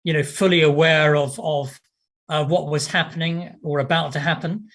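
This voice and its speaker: A man giving unscripted interview analysis as a commentator, with a halting, hesitant delivery. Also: a little high, fairly even intonation, a bit bright.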